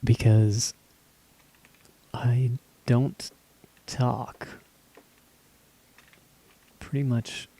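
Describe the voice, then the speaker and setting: A man streaming live while he builds a game map, talking casually in halting, stop-start phrases as his voice weakens. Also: mid-range voice, steady tone, gravelly, dark tone, breathy edge, rough recording.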